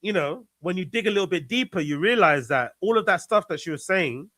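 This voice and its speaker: A man's voice: sits high, expressive, fairly quick, bright, forceful tone, dry recording, clean studio sound. A man in a casual conversation, earnest and reflective, repeating phrases for emphasis. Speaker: podcast guest.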